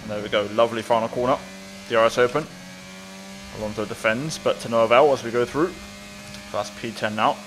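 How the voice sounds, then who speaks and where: A man giving live commentary on a game as he plays it, thinking out loud. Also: mid-range voice, fairly even intonation, fairly quick, pauses often, slight background noise, rough recording. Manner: thinks aloud while playing. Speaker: gaming video creator, player.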